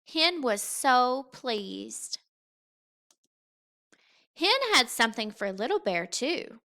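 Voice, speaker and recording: A woman reads a picture book for young children. Her voice is fairly high and bright, and she takes her time, shaping each phrase expressively and deliberately. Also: big pitch swings, gravelly. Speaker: teacher reading a picture book aloud. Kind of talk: expressive children's read-aloud storytelling.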